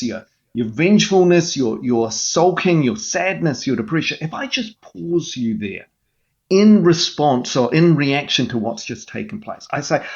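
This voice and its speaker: A man's voice: a little high, highs cut off. A man speaking as a mental health guest in an interview, explaining with an earnest coaching manner and frequent restarts.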